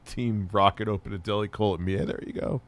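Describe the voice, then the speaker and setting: A man's voice: lowish voice, fairly even intonation, a little fast, a little hiss or hum. A man hosting a livestream, giving crude, mocking commentary over a video and laughing often. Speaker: livestream host reacting to videos.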